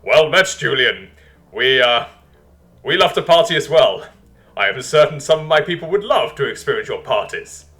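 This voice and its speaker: Man acting an excitable, wry leader, bright and animated. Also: mid-range voice, moderate pace, pitched above the performer's own voice. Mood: amused, polite. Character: irreverent, easily amazed male leader from Earth.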